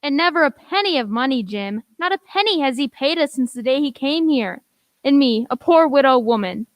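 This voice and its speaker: A woman's voice: sits high, lively intonation, normal speed. A woman playing an anxious, poor widowed innkeeper mother in an amateur radio play, speaking plaintively. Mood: fretful.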